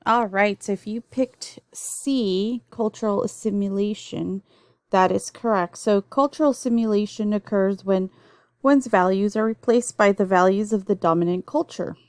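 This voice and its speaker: A woman's voice: mid-range voice, moderate pace, darkish tone, no echo, studio quality. A young adult woman, born in El Salvador and raised in the US, teaching a recorded nursing lecture in an explanatory way with frequent ums and restarts. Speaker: nursing instructor.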